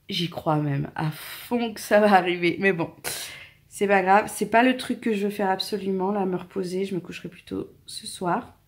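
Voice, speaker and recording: A woman's voice: mid-range voice, vocal fry. A woman in her late twenties with a French accent, chatting casually to camera in a family vlog, rambling about her day. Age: 20s. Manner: chatty, rambling, talks softly to her cats. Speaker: family vlogger.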